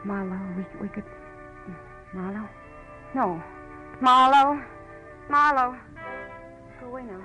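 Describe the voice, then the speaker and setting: A woman's voice: a little high, slow, long pauses. A woman playing a brazen, scheming American femme fatale in 1940s radio melodrama, theatrical and sarcastic. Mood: pleading. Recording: muffled old radio with music.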